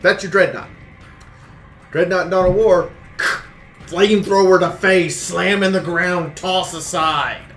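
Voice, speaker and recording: A man's voice: high voice, steady tone, a little slow, a bit bright, soft background music. Blunt man reviewing games, roomy sound. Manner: blunt, emphatic, repeats phrases for stress. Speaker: game reviewer and YouTuber. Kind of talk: opinionated game review commentary.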